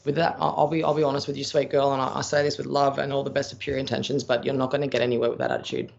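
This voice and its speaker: Gravelly Australian man, blunt and chatty. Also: mid-range voice, little pitch movement, racing. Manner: blunt, chatty, calls viewers pet names. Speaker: psychic medium. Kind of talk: live-stream reading of viewer questions. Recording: dull lo-fi stream audio.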